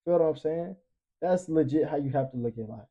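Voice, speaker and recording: A young man around twenty with a mellow, fairly high voice talks in a casual, motivational way. He is emphatic and slangy, swears freely and circles back to pet phrases. The sound is a little dull. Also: fairly quick. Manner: emphatic, profane, slangy, preachy, repeats catchphrases. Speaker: podcast panelist.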